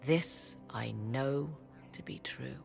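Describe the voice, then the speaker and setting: A woman playing a proud, mysterious witch of the wilds in a video game, with formal, archaic, measured diction. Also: rumbling low, creaky, music in the background, exaggerated voice. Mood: solemn conviction. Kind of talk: dramatic video game dialogue.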